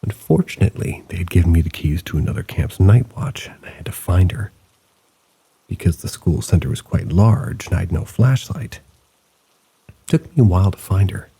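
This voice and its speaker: A man hosting a channel of paranormal stories, reading listener accounts aloud in a measured, low-key storytelling manner. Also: expressive, vocal fry, warm tone, slightly rough. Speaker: host and narrator.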